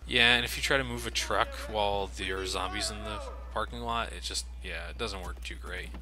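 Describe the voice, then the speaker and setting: A man's voice: lowish voice, fairly even intonation, fairly quick. A man giving casual, profane game commentary with a sardonic manner. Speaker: let's play host.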